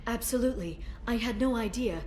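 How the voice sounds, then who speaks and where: A woman voicing a composed alien information broker in a video game cutscene, speaking softly and in a measured way. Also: a little high, a little hiss or hum, natural voice. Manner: soft-spoken, measured, quiet intensity.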